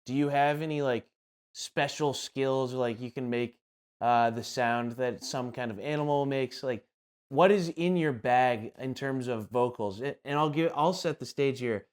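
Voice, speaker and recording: A man's voice: medium pitch, unhurried, clear, resonant tone. A young man chatting casually as an interviewer, informal and slangy. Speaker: podcast host, interviewer. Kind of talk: casual chat.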